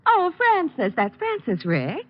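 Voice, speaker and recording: A woman's voice: extremely high pitch, huge pitch swings, unhurried, exaggerated voice. An American actress playing a playful, refined young society girlfriend in a 1940s radio drama, coy and teasing. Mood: gently scolding. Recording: muffled 1940s radio recording, music behind.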